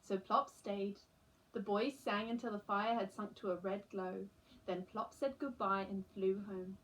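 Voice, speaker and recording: A woman's voice: mid-range voice, fairly even intonation, unhurried, a bit bright, rough recording. A woman reading a children's story aloud as a storyteller. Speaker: storyteller, teacher.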